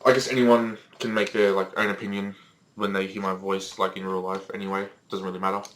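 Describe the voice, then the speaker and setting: A teenage guy chatting on a casual podcast over a call, his voice sitting a touch low. He rambles through fillers and restarts and swears freely. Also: little pitch movement, a little fast, a bit bright, a little hiss or hum, low-quality recording. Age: teens. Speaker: podcast co-host. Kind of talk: casual chat. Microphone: Skype call recording.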